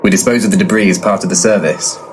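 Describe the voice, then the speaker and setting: Man as a low, bossy ghost investigator. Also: fast talker, slight background noise, lightly acted. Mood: deadpan, bossy. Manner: deadpan, bossy. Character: brash, foul-mouthed, mercenary male ghost investigator. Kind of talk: full-cast comedy audio drama.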